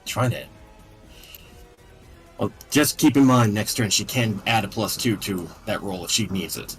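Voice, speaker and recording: Lowish-voiced man playing a tabletop game. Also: expressive, a little slow, soft background music. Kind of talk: casual game chat.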